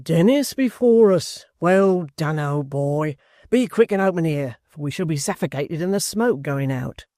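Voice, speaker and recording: A brash young rioter bellows in a raised, high-pitched voice, impatient and blunt, as a male narrator puts him on. The storybook reading is close-miked and dry. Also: expressive, unhurried, no echo. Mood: rousing. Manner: shouting, brusque.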